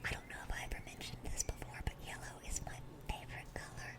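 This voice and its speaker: A woman's voice: fast, lightly acted. Woman playing a whispering, dorky demon girl. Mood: excited, gentle. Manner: rambling, repeats words, easily sidetracked. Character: dorky, eager, sweet-natured young female demon. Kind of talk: ASMR roleplay, whispered.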